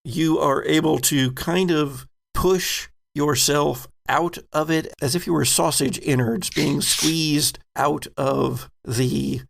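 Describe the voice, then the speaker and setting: A man with a gravelly voice narrating an improvised tabletop game as the game master. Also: a little high, steady tone, slow, hardly any pauses.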